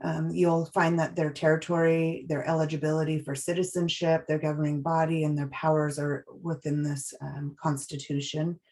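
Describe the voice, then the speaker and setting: A woman presenting a training webinar, walking through slides in a measured, explanatory way with frequent pauses and restarts. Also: low voice, little pitch movement, a little mellow.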